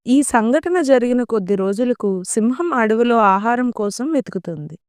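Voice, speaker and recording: High-voiced woman narrating children's stories. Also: lively intonation, normal speed, no room sound, clean studio sound. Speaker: storyteller. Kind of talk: scripted children's story narration. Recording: music behind the voice.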